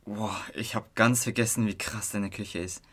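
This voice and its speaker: Low-voiced teenage boy, casual and curious acted by a young man. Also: fairly even intonation, normal speed, fairly bright tone, breathy edge. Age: young adult. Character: curious, easygoing teenage boy trying partying for the first time. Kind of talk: amateur radio drama dialogue.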